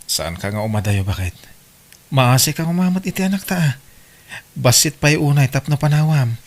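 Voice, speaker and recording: A man with an Ilocano Filipino accent playing a gentle, apologetic farmer husband and worried young father in an audio drama. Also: fairly high pitch, lively intonation, normal speed, close to an everyday voice. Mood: pleading, apologetic.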